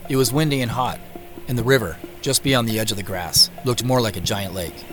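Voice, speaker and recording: A man's voice: mid-range voice, natural voice. An actor playing a reflective, curious American man in his forties, narrating a family mystery in scripted first person. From Seattle, United States. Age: 40s.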